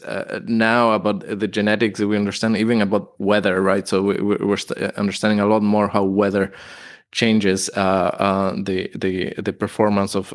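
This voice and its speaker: A middle-aged man from Ecuador with an Ecuadorian Spanish accent, a plant pathologist explaining his research as a guest, hesitant with many fillers and restarts. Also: lowish voice. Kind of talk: conversational interview.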